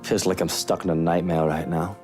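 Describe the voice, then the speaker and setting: A man voicing a brooding young gentleman in mourning, speaking slowly and wistfully in a dramatic visual novel style. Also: deep voice, level tone, music in the background, close to an everyday voice. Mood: sorrowful.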